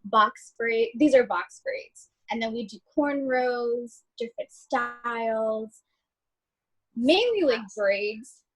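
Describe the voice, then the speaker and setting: A woman's voice: a little high, expressive, takes it slowly, poor audio. A young woman from Ethiopia, chatting as a guest over a video call in a friendly, loose way with many fillers. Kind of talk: casual video call chat.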